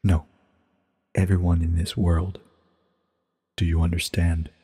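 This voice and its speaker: A man acts out an ancient, regal pony queen in a storybook reading. The voice is low and husky, measured and solemn, with a guarded calm. A long echo hangs on it. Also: heavily acted. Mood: solemn, grave. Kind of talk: dramatic reading of a short story.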